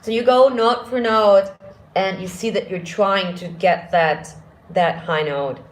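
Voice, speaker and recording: A woman vocal coach with a deep speaking voice, answering viewer questions and demonstrating exercises in a live singing lesson. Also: a little slow, low-quality recording.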